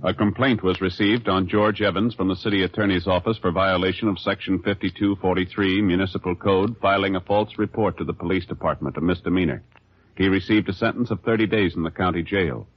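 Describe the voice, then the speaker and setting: A man announcing in a formal, measured, official 1950s radio style from a script. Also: low-pitched, steady tone, husky. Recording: muffled 1950s radio broadcast, faint music.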